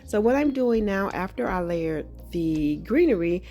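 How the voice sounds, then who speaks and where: A woman hosting a craft tutorial, slightly hoarse from a cold, talking in a friendly, chatty way with frequent ums. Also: medium pitch, animated, a little slow, warm tone, soft background music. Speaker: craft channel host. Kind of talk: casual craft tutorial narration.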